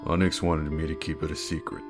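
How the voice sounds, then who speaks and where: Low-voiced husband and father played by a man, skeptical, protective, teasing; audio drama with plucked guitar backing. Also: little pitch movement, fairly quick. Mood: defensive.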